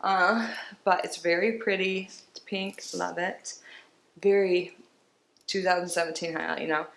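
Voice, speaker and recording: A woman's voice: fairly low pitch, fairly even intonation, measured pace, vocal fry, fairly bright tone. A woman chatting casually through a beauty box unboxing, sounding stuffy and congested, rambling along with small laughs. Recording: roomy with faint background noise.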